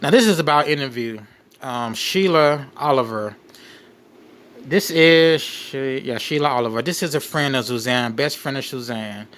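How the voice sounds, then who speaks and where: A man hosting a show, reading a document aloud with off-the-cuff commentary in a halting way, repeating and rephrasing as he goes. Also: medium pitch, a bit bright. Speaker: late night show host.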